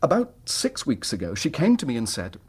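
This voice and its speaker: A man's voice: medium pitch, huge pitch swings, a little fast, slightly rough. An actor playing an earnest, troubled middle-class English husband telling his story in formal period language for a radio drama.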